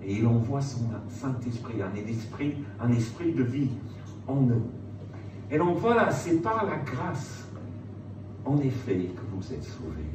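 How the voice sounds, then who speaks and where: A man's voice: mid-range voice, very animated, a little slow. A man preaching an evangelical sermon, emphatic, repeating phrases and putting rhetorical questions to his listeners. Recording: roomy, distant mic, loud background noise.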